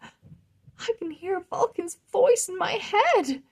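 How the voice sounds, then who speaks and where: A woman voicing an excited, earnest young girl dragon master in a children's storybook. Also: very high voice, takes it slowly, voice pushed up, exaggerated voice. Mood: thrilled. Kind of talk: children's storybook read-aloud.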